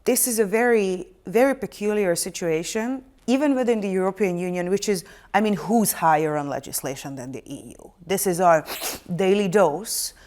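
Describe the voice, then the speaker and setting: A woman's voice: medium pitch, moderate pace, few pauses, darkish tone. A woman hosting a studio panel talk show, asking probing questions with a wry edge.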